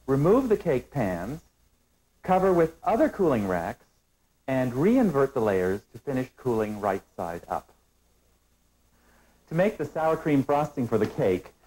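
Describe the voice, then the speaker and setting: A middle-aged man hosts a cooking show from a studio kitchen set, speaking into a lapel mic. His voice is mellow and even-pitched, and he explains each step in a calm, instructive way. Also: poor audio. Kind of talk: scripted cooking demonstration.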